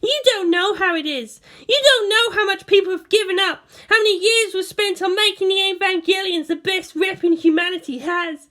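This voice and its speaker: A woman's voice: very high-pitched, normal speed, few pauses, bright, forceful tone, voice pushed up. A woman voicing a proud, hot-tempered teenage girl pilot who rants with snarling scorn in an audiobook-style story reading. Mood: furious, indignant. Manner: snarling, indignant ranting.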